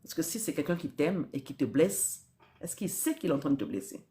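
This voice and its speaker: A woman's voice: sits low, expressive, a little fast, warm tone. Earnest woman giving spiritual advice. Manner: earnest, repeats key phrases, asks rhetorical questions. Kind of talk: live-stream spiritual advice.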